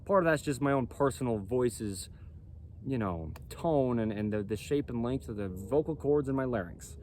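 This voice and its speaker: A man with a boomy, projecting voice, a clothing company founder explaining in an emphatic monologue that keeps checking in with "right?" and "okay?". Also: animated, moderate pace, darkish tone, a little hiss or hum. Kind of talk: self-recorded explainer monologue.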